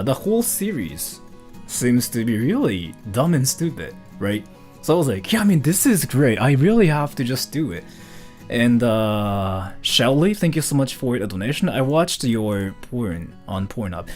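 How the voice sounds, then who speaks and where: A man's voice: mid-range voice, a little mellow. A man from Japan, a streamer, chatting casually and answering viewer questions in a rambling, loose way. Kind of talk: casual livestream chat, reading viewer questions.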